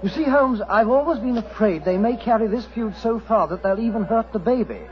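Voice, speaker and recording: An actor playing a genteel, placating English army major with a fluttery, hesitant manner, in 1940s radio style. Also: male voice, sits high, brisk, slight background noise, lightly acted. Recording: muffled old radio drama, music.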